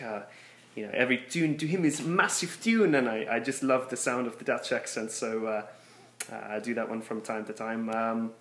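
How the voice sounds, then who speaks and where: A man's voice: fairly low pitch, a bit bright. A man chatting casually to camera while putting on a run of imitated accents, hesitant and full of fillers, recorded in a room at his workplace. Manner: talks through put-on imitated accents, hesitant with many fillers. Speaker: vlogger showing off accent imitations. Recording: roomy echo.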